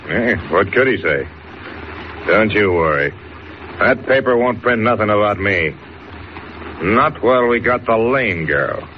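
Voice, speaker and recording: An actor playing a bossy, irritable racketeer in an old radio drama, talking in gruff tough-guy slang. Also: fairly low pitch, lively intonation, a little slow, long pauses. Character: bossy, irritable male racketeer gang boss. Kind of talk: 1940s radio acting. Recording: noisy, dull old radio recording.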